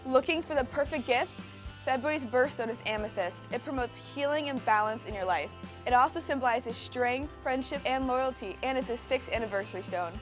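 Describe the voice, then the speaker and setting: Lady doing a smooth ad voiceover, bit high voice, music playing behind. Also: a little fast. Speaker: ad voice-over. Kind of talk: scripted commercial read.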